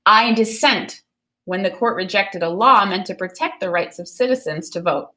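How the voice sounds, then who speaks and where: A woman teacher reading a picture book aloud and pausing to explain it, often checking for understanding. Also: medium pitch, bright, forceful tone.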